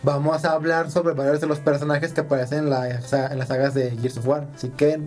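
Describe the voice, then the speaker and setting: Higher-voiced Mexican man, podcast host. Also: level tone, fairly quick, a little mellow, faint music behind. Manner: joking, teasing, slangy. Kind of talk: casual banter about video games.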